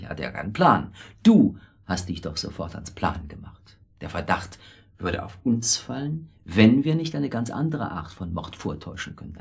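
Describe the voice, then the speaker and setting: A man playing a weak middle-aged husband in a radio drama, confessing in a hesitant, rambling, self-justifying way with a husky voice. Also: deep voice, a little mellow, exaggerated voice. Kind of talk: naturalistic German radio drama acting.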